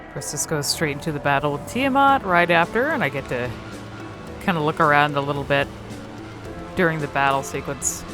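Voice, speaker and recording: A woman's voice: deep voice, brisk, over music. A woman giving casual, unscripted game commentary in a hesitant, meandering way. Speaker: video creator.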